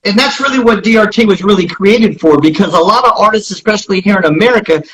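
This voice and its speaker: A man with a husky voice speaking as an interview guest, explaining his company in an earnest, emphatic way and repeating key points. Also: high voice, fast, fairly bright tone, poor audio. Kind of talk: promotional interview talk.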